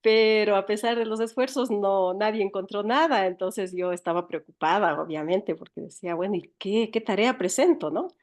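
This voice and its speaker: A woman's voice: mid-range voice, normal speed, few pauses. Female interview guest, Ecuadorian accent, mid-range voice, recounts things conversationally while feeling for the right word. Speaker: interview guest, writer and teacher.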